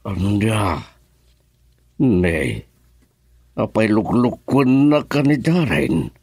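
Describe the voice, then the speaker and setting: A concerned Filipino father in a radio drama. He questions slowly in a fairly low voice with wide swings of tone, recorded dry. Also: expressive, darkish tone, slightly rough, dry recording, heavily acted. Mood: puzzled, concerned. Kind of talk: Ilocano radio drama acting.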